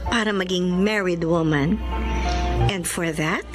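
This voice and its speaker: An actress in a Filipino radio drama playing a spirited, devout single middle-aged daughter who mixes Tagalog and English expressively. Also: female voice, fairly low pitch, takes it slowly. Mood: reflective. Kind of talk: Filipino radio drama acting and first-person narration. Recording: music behind the voice.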